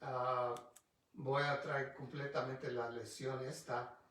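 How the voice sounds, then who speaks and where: A man leads a Bible study in a slow, careful way, often stopping to find a word and restarting. Spanish is not his first language. He sounds set back from the microphone in a strongly echoing room. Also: medium pitch, flat delivery, a bit bright. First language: English. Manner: halting, restarts often, searches for words. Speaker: pastor. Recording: distant mic in a very echoey room.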